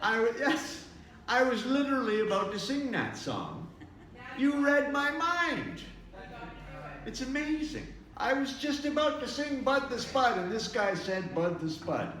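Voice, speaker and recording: A man's voice: extremely high pitch, very animated, measured pace, a bit bright, poor audio. A man who entertains as a touring singer and show host, chatting casually in a folksy way as in a travel vlog or between songs.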